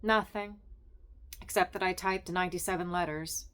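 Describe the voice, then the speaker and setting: A woman's voice: fairly low pitch, fairly even intonation, moderate pace, fairly bright tone, smooth, lightly acted. A woman reading a story gives voice to a worn-out young typist who is short and clipped, her voice only a little above the reader's plain tone. She sounds tense and irritable, a storybook heroine at the end of her rope. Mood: sarcastic.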